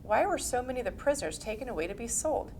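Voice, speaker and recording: A woman's voice: a little high, very animated, quick pace, a little mellow. Woman reading formally, faint background noise. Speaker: reader. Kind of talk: scripted reading of historical religious text.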